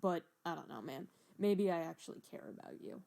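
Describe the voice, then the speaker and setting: A woman's voice: fairly low pitch, lively intonation, brisk, gravelly, mellow, faint music behind, pitched below the performer's own voice. A woman voicing a sardonic imaginary young man, a mocking ghost with a dry, needling delivery, in an audio drama. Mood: frank. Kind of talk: voiced web-fiction audio drama.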